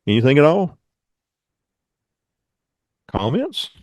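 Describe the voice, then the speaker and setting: A man's voice: fairly high pitch, wildly swooping pitch, slow pace, a little mellow, no echo, studio quality. A man giving an informal club presentation over a video call, with rambling, folksy asides.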